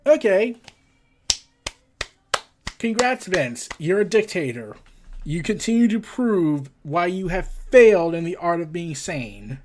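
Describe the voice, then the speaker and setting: A man with a high-pitched voice hosts a casual news show. He moves between reading out reports and giving his own opinions. His manner is blunt and exasperated, in the loose style of an online commentator. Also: sing-song, takes it slowly, pauses often. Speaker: host of a pop culture and wrestling news show.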